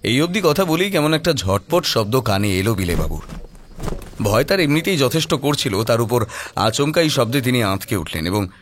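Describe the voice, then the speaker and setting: A man's voice: mid-range voice, sing-song, normal speed. A man narrating a scripted horror audio story in a suspenseful, dramatic manner.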